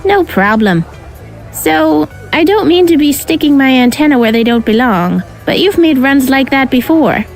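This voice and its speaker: An actress playing a gruff but friendly female insectoid bartender with blunt, teasing banter. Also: high voice, expressive, normal speed, soft background music, rough recording.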